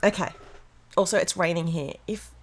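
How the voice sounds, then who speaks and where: A woman hosting a casual craft livestream from a room at home, chatty and bubbly, greeting viewers by name, her voice a little hoarse from illness. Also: on the low side, normal speed. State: slightly hoarse, losing her voice after being sick. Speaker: livestream host of a planner craft show. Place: room at home with a door open to rain.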